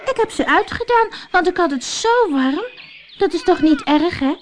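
A woman voicing an eager little girl who chatters in a high, bubbly voice, storybook style. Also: animated, moderate pace. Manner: high, bubbly questions tumbling out.

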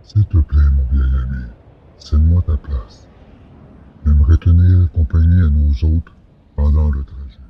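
A man playing an elderly alien supreme leader, dignified, genial and formally courteous, with a gravelly voice in an audio drama. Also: extremely low pitch, fairly even intonation, measured pace, phone-quality sound, a little hiss or hum. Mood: courteous, commanding.

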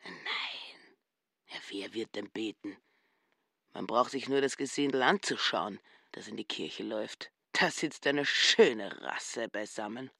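An actress playing a stingy, prim middle-aged housekeeper in a radio play, husky, indignant and sanctimonious. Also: female voice, rumbling low, slow, a bit bright, no echo, studio quality, heavily acted. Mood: muttering. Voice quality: husky, mutters to herself.

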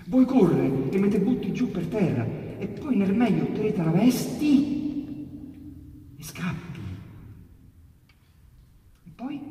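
A man with a Roman accent reciting narrative verse theatrically, with impassioned emphasis, dramatic pauses and swells. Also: a little high. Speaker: actor reciting narrative poetry on stage. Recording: echoey hall, distant mic, faint noise.

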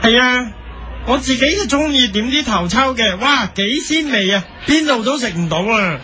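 A man's voice: very high-pitched, animated, moderate pace, pushed and bright, faint music behind, heavily acted. A man playing a vague, self-important food critic giving pseudo-profound answers in a radio comedy interview. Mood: vacantly pleased.

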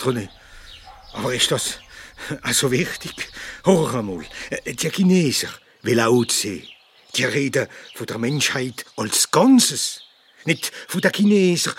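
An actor playing an earnest Alsatian doctor and humanist in a radio play, speaking colloquial dialect with an Alsatian accent and searching pauses. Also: male voice, medium pitch, a bit bright, slightly rough, heavily acted. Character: warm humanist Alsatian doctor and philosopher, argumentative, fond of schnapps.

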